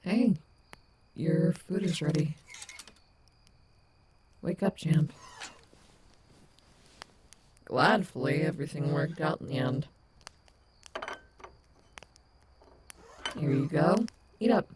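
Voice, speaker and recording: A woman's voice: low-pitched, expressive, measured pace, pauses often, a little mellow, a little hiss or hum. A woman playing a confident, protective animatronic wolf in an audio roleplay, sarcastic but tender toward her companion. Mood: gentle, caring.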